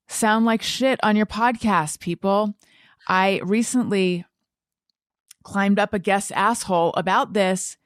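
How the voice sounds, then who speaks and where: A woman's voice: mid-range voice, fairly bright tone, dry recording, clean studio sound. A woman hosting a podcast, chatting casually in a candid, blunt way with self-deprecating humour. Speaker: podcast host. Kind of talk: casual conversational podcast chat.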